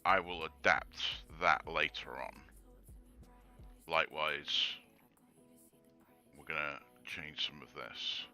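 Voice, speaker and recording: A man with a very low, gravelly voice, roughened further by a sore throat. His tone stays bright and forward as he thinks aloud, pausing on ums while he works through a casual screen-recorded tutorial. Music plays faintly behind him. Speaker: 3D modeller giving a tutorial.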